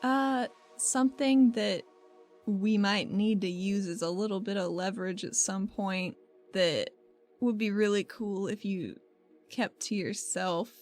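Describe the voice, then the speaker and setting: A woman's voice: mid-range voice, measured pace, a little mellow, soft background music. A woman playing a tabletop game, chatting and narrating her character's actions informally, with casual swearing. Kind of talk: casual roleplay chat and narration.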